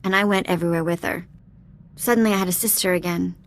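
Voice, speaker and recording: A woman playing a cheeky, warm-hearted young alien ex-thief in a video game, speaking in a casual, candid, slangy way. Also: fairly low pitch, steady tone, fast, natural voice. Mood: wistful. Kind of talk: video game dialogue acting.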